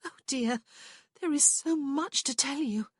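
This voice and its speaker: A woman's voice: high voice, measured pace, voice pushed up. A woman voicing an anxious young lady in love who speaks tenderly and breathily.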